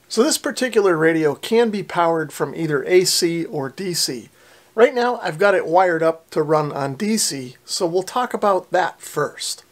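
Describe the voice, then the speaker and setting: A man, giving a methodical, plain-spoken tutorial as a hobbyist presenter. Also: on the high side. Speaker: radio repair hobbyist and presenter. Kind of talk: explanatory tutorial talk to camera.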